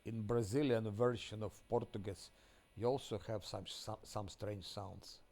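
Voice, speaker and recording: Guy streaming, low gravelly voice, stops and restarts looking for english words. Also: steady tone, darkish tone. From Ukraine. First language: Ukrainian. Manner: halting, searching for words in English. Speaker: game streamer. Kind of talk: casual live-stream commentary.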